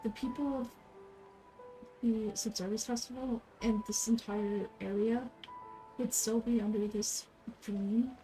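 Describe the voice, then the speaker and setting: Woman game streamer thinking out loud, soft mellow voice, kinda halting, music in back. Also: on the high side, steady tone, low-quality recording. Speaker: game streamer reading all characters aloud. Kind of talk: casual game commentary.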